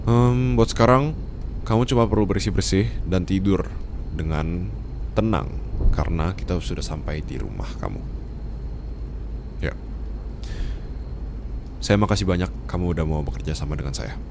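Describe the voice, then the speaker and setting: A man's voice: sits low, expressive, moderate pace, creaky, pauses often, noisy background. A man acting a blunt, aloof rich boss in a conversational roleplay, speaking informal in a matter-of-fact, bossy way. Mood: businesslike. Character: blunt, aloof rich male boss proposing paid marriage. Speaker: ASMR roleplay voice actor. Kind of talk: ASMR boyfriend roleplay, conversational monologue.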